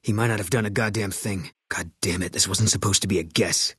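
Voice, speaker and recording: A middle-aged man playing a bitter, grieving ex-soldier sniper in a video game, speaking in terse, clipped, grim lines with a husky voice. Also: fairly low pitch, fairly even intonation, fairly quick, a bit bright, dry recording, clean studio sound.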